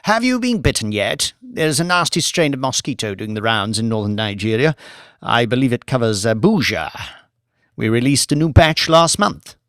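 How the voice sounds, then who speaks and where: A man's voice: mid-range voice, animated, normal speed, a bit bright, dry recording, clean studio sound. A man voicing an eccentric, gleeful scientist in an audiobook, fussy, chatty and rambling, gloating as he talks. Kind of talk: audiobook character voice.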